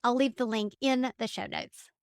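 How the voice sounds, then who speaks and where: A woman's voice: fairly high pitch, normal speed, no room sound, studio quality. A woman hosting a podcast, upbeat and encouraging as she interviews a guest. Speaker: podcast host. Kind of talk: scripted intro then casual interview.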